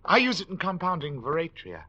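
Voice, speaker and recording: An actor playing a wasted, morbid invalid obsessed with poisons, lecturing with theatrical relish in 1940s radio style. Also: male voice, on the high side, wildly swooping pitch, brisk, bright, forceful tone, full character voice. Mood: macabre relish. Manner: theatrical, eager, relishing his macabre lectures. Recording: dull, lo-fi 1940s radio recording.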